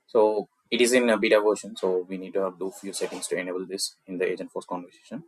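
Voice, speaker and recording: A man with a South Indian accent, a native Tamil speaker, presenting a software tutorial in an explanatory walkthrough style. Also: low-pitched, flat delivery, fast talker, husky, rough recording.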